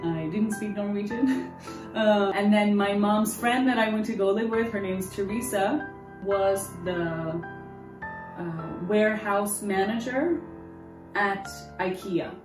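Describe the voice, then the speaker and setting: A woman's voice: medium pitch, slow. A young American woman in her twenties telling her story in a candid, rambling interview. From United States. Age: 20s. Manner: candid, rambling, full of restarts. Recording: roomy distant mic with music. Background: guitar music.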